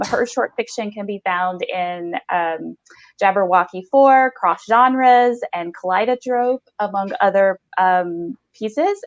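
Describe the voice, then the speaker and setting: A woman in her thirties hosts a casual interview from a room at home. Her voice sits at a medium pitch, and she speaks in a halting, gushing way over a video call. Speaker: podcast host. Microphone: video call, headset.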